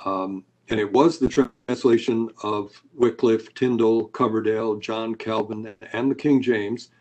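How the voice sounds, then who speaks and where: A man gives long scholarly answers in an interview. He lectures steadily but stops often on uhs and restarts. His voice sits a little low. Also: poor audio. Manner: hesitant, many uhs, lecturing. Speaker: Bible scholar guest.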